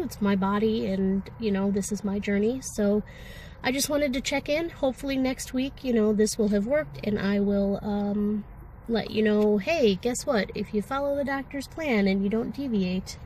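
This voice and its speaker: A woman's voice: a little high, darkish tone, slight background noise, low-quality recording. A woman with a sore throat and a congested voice, talking candidly and ramblingly in a personal vlog, with many fillers. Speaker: vlogger. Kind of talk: casual personal vlog talk.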